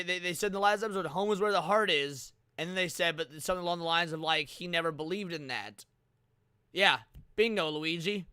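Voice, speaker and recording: A male streamer with a high, clear voice reacts casually as he watches along with his audience. His talk is slangy and profane, and the sound is dry and close to the mic. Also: sharp tone, no room sound. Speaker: livestream host. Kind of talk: casual live reaction commentary to horror series.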